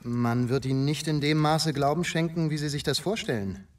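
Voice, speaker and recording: A man's voice: fairly high pitch, normal speed, distant mic, natural voice. A man acting in a radio drama as a blunt, stern police inspector with a warning manner. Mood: sceptical.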